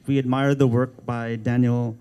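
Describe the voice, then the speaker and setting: A man's voice: mid-range voice, level tone, moderate pace, mellow, clean tone. Hesitant man with many ums. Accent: Filipino American. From United States. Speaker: art director. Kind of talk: speech at a book launch.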